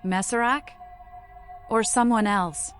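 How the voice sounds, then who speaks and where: A woman's voice: fairly high pitch, takes it slowly, fairly bright tone, soft background music. A woman voicing a cryptic, unhinged female vampire in a video game, with an eerie playful temper and terse, odd phrasing. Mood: curious, eerie.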